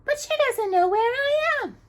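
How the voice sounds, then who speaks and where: A woman voicing a sweet, cheerful young princess in a high, breathy storybook voice. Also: animated, normal speed. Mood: pleading.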